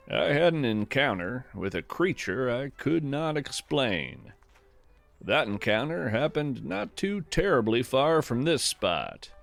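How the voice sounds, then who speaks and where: A man playing a gentle, folksy naturalist who hosts a scripted fiction podcast, musing poetically and with wonder about the woods. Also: on the low side, exaggerated voice. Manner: reflective, poetic, unhurried musing. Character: gentle, wonder-struck folksy naturalist narrating a woodland walk. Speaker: podcast host.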